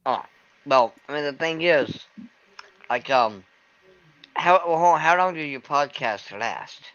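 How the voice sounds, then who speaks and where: A man joining a livestream chat with jokey, quick interjections. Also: on the high side, animated, fairly bright tone, highs cut off. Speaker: livestream guest. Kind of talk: casual chat.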